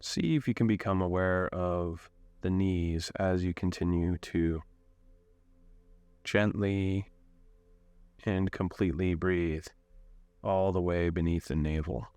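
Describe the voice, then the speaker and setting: A man leading a guided meditation in a calm, unhurried way, giving gentle instructions with long pauses. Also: sits low, clear, resonant tone, no room sound.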